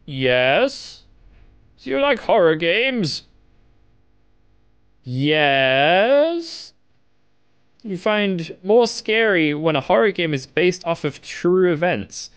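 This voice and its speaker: A man's voice: high voice, sing-song, extremely slow, pauses often, a bit bright, clear, resonant tone. A young man doing casual, playful let's-play commentary, reacting and reading game text aloud. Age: young adult. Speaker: gaming video host.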